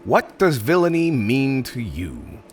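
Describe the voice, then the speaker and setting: A man's voice: mid-range voice, wildly swooping pitch, takes it slowly, a little hiss or hum. A man playing a theatrical, polite but exasperated supervillain interviewer in a roleplay audio drama, hesitant and mock-professional, prone to chuckling. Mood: amused, businesslike.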